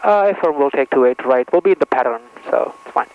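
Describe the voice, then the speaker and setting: Quick man on dull, noisy radio. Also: mid-range voice, lively intonation. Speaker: pilot. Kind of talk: radio calls to air traffic control.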